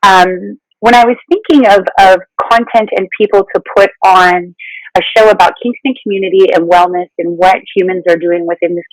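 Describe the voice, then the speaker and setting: A woman's voice: on the low side, dry recording, poor audio. Effusive woman hosting, close dry sound. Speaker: podcast host. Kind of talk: casual conversational interview.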